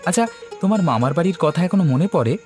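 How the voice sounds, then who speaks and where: A man's voice: fairly high pitch, lively intonation, fast, darkish tone, soft background music, lightly acted. A man voicing a curious son in a cartoon, questioning and impressed by scary stories. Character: curious son listening to mother's ghost stories. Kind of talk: animated story dialogue.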